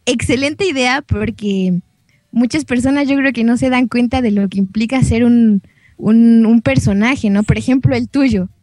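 Giggly young woman, high dry voice. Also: normal speed, a little mellow, no room sound. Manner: giggly, informal. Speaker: voice actress guest. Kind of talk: casual chat, telling anecdotes.

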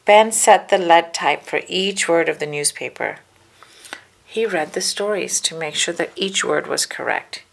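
A woman narrating a children's storybook aloud with measured, deliberate phrasing. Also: lowish voice, vocal fry.

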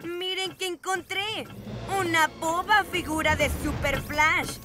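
Evil kid scientist for a cartoon, smug n mocking, slow delivery, music behind. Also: female voice, extremely high pitch, steady tone. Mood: smug taunting. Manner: mocking, drawn-out theatrical taunts. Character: gloating boy mad-scientist villain, smug and taunting. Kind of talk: animated children's cartoon dub.

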